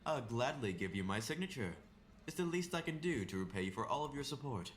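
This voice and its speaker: A man's voice: mid-range voice, sing-song, brisk, slight background noise, close to an everyday voice. Man playing a suave, theatrical young skating idol. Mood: charming, gracious. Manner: gallant, ornate, courteous phrasing. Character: suave, flowery young male figure skating idol charming fans. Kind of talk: anime-style audio drama acting.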